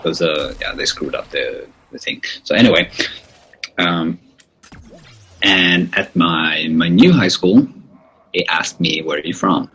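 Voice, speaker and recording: A man's voice: deep voice, measured pace, pauses often, bright, forceful tone, husky, poor audio. A man from Sweden, chatting casually while streaming a game, often stammering and restarting his sentences. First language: Swedish. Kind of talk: casual gaming chat.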